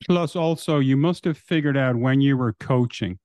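Man asking halting interview questions. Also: mid-range voice, a little mellow, dry recording. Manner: asks questions in halting, restarted phrases. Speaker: podcast host.

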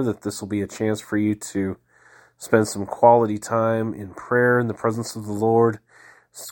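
Calm man with a head cold reading prayers. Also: fairly low pitch. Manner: calm, measured, devotional reading with slow pauses. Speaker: podcast host leading daily prayer. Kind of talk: reading liturgy aloud, prayerful.

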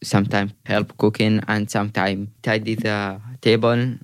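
A young man speaking halting, broken English in a casual chat, often repeating words. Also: lowish voice, flat delivery, clean tone. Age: young adult. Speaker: youth group member.

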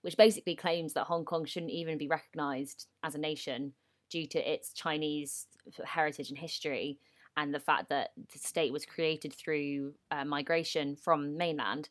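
A young woman co-hosting a casual interview, chatty and a little hesitant, restarting sentences and breaking into excited bursts. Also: low-pitched, moderate pace. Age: young adult. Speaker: podcast co-host.

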